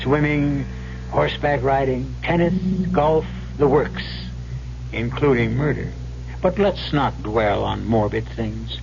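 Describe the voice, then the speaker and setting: A man's voice: medium pitch, slow, creaky, noisy background. A man hosting and narrating a 1970s radio mystery with a sly, theatrical, inviting manner. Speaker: host and narrator of mystery show. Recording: dull old radio broadcast, music behind.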